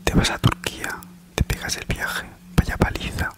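A man from Spain delivers an ASMR parody entirely in whispers. His manner is soothing and reassuring on the surface, with a teasing edge underneath. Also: moderate pace. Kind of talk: whispered ASMR comedy monologue. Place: small living room at home, on a sofa.

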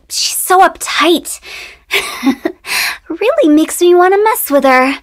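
A woman's voice: very high-pitched, fairly bright tone, exaggerated voice. A woman voicing a playful, eccentric young female funeral director in a video game, with a theatrical, teasing, sing-song delivery. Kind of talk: video game character voice lines.

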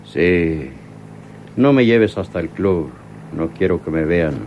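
An actor playing a bitter, menacing crime boss in a Mexican radio drama, with a Mexican accent. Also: male voice, deep voice, animated, slow, a little mellow, muffled recording, slight background noise. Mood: gloomy.

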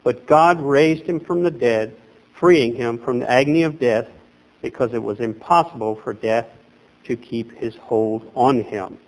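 A man in his thirties preaching a sermon from a lectern in a small chapel, animated and emphatic, recorded by a camera at a distance. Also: medium pitch, measured pace. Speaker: pastor.

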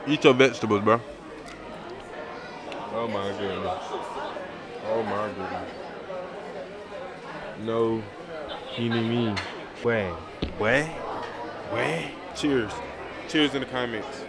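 Young man vlogging in a loud restaurant. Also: mid-range voice, slow, pauses often. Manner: loose, slangy, joking, talks straight to viewers. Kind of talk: casual vlog chat to camera. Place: dim busy restaurant booth. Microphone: handheld camera at arm's length. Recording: noisy crowded restaurant. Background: restaurant crowd chatter.

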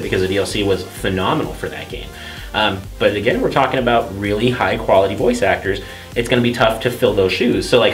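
A man in his thirties co-hosting a gaming show in casual chat, animated, slangy and joking. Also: on the low side. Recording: roomy echo, music underneath. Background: music.

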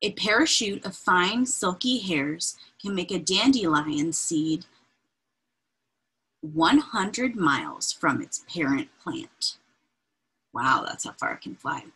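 A woman reading a children's picture book aloud in an expressive, deliberate, breathy way, adding informal asides as she points things out. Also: lowish voice, low-quality recording. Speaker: read-aloud reader. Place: indoors, small room with bookshelves.

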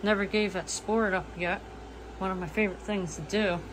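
A woman's voice: mid-range voice, steady tone, moderate pace, background noise. A woman in her late twenties giving a casual tour of her small home, talking plainly and listing things as she walks, recorded on a phone. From eastern United States. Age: 20s. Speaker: vlogger. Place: small manufactured home, indoors. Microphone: phone camera, front-facing then walking.

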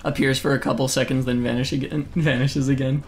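Young male streamer from the US, casual chat at a desk mic, mid pitch, faint noise floor, prone to loud outbursts. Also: flat delivery, fairly quick. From United States. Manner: reads chat aloud, chatty, with sudden loud exclamations. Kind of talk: casual live-stream chat while gaming. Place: home room, seated in desk chair.

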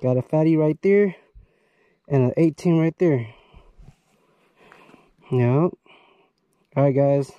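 A man fishing on a rocky riverbank, talking to an action camera on his chest, switching between excited whoops and quiet murmured asides, a little out of breath. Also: a little high, slow, long pauses, no echo, highs cut off. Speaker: fishing vlogger. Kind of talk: excited outdoor fishing commentary. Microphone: chest-mounted action camera.

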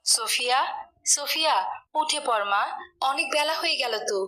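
A woman's voice: high voice, normal speed, pushed and bright, poor audio. A woman voicing an exasperated, scolding middle-aged mother in a cartoon. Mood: coaxing. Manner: scolding, complaining.

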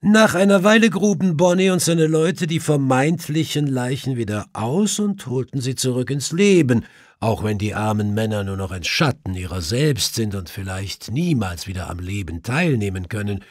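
A man's voice: medium pitch, lively intonation, normal speed, dry recording, studio quality, pitched above the performer's own voice, lightly acted. A man voicing a clever, self-assured male detective who explains things precisely in an audiobook. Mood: assured explaining. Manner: precise, explanatory, faintly mischievous.

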